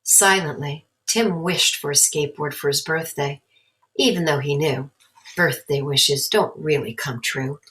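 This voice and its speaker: A woman's voice: low-pitched, unhurried, a bit bright, poor audio. A woman narrating a children's story aloud as a storybook reader.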